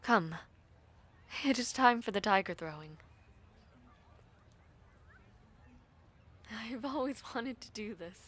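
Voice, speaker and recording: A woman playing a formal, composed villainess devoted to her prince, speaking in slow, breathy, measured phrases in a fantasy audio roleplay. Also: fairly high pitch, animated, a bit bright, pitched above the performer's own voice. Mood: warm, proud. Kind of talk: fantasy audio roleplay with first-person narration.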